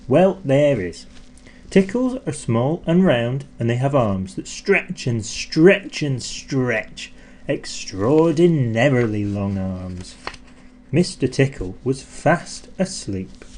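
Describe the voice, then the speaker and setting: A male storyteller with a mid-pitched voice reads to children as an audiobook narrator. His delivery is theatrical and expressive, stretching key words for effect. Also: slow.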